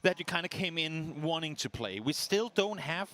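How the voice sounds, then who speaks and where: A man doing color commentary on a competitive shooter match, analytical and measured, sometimes self-deprecating. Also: fairly high pitch, animated, sharp tone. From Denmark. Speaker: esports analyst caster.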